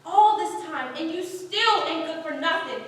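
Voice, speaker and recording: A woman in a staged play reading playing a protective, sharp-tongued young acting student, animated in manner. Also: extremely high pitch, unhurried. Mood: protective, upset. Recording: distant mic in echoey hall.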